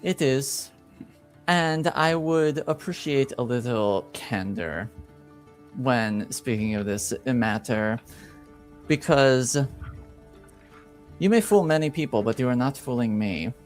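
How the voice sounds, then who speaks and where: A man playing a haughty, shrewd duke in a tabletop game, formal, deliberate and skeptical. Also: mid-range voice, lively intonation, faint music behind. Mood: stern, confronting.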